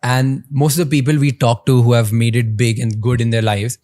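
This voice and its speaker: Dude hosting a podcast, curious, keeps prompting with questions. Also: male voice, mid-range voice, level tone, fairly quick, a little mellow. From India. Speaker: podcast host. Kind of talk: podcast interview.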